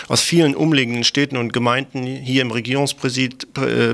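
A man with a slightly gravelly voice, reading scripted news as a radio presenter. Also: medium pitch, a bit bright.

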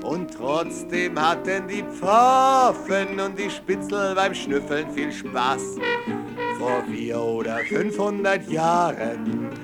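A man's voice: a little high, lively intonation, slow pace. A man singing a narrative folk protest ballad as a singer-songwriter. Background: acoustic guitar.

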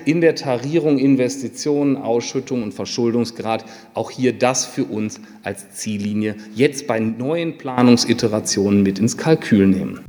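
A man's voice: mid-range voice, darkish tone. A man giving a formal presentation as a company executive. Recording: reverberant meeting hall.

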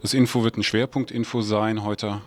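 A man with a fairly low voice presents a radio magazine. He speaks as a studio host introducing the show, with music clearly playing behind him. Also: flat delivery, normal speed. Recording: studio voice over music bed.

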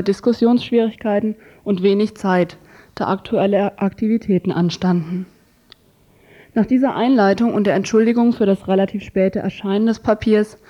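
A woman radio presenter reads a scripted report, now and then tripping on a word. Her pitch is average, and the recording is dull and lo-fi. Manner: reading from script with occasional stumbles. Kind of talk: scripted news report read aloud.

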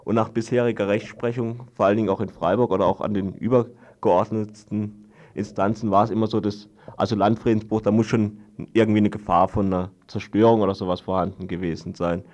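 A man giving an unscripted radio report, explaining things haltingly in long-winded sentences. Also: on the low side, steady tone, darkish tone, highs cut off. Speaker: guest reporting on a court trial.

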